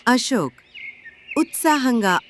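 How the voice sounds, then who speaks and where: A woman's voice: fairly high pitch, big pitch swings, takes it slowly, slight background noise. A woman narrating a scripted moral tale as its storyteller.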